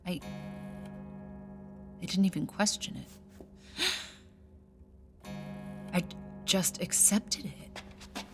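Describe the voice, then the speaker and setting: A very slow, hushed grieving woman, burdened with guilt. Her halting words hang in a long hall reverb with music behind, in a period audio drama. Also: mid-range voice, very animated. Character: sorrowful, guilt-laden woman remembering childhood loss.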